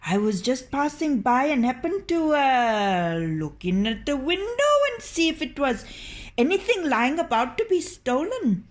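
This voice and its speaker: A cowardly thief who moans and pleads in a high, wobbly voice, as a woman reads him. Pitch jumps around with every fright. A storybook character told at a slow pace. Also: sing-song. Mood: fearful. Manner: moaning, pleading. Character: whiny, frightened male thief.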